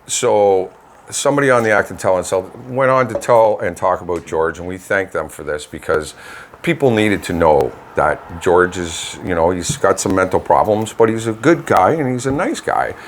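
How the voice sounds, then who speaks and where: A man with a Canadian accent chatting casually as co-host of a local show, talking in a rambling, halting way. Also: low-pitched, lively intonation, a little hiss or hum. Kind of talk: casual community chat. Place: home studio.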